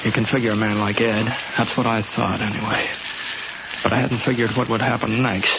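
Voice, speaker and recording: A man's voice: on the low side, little pitch movement, fairly quick, muffled recording, lightly acted. An American man acting a laconic, folksy drifter gunslinger in a 1950s radio western, on a hissy old recording. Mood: tense, reflective. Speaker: lead actor and narrator.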